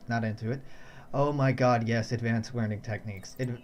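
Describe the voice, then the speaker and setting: A man's voice: mid-range voice, steady tone, moderate pace. A young man from the United States casually thinking aloud while streaming a strategy game, chuckling often. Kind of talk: casual let's-play commentary.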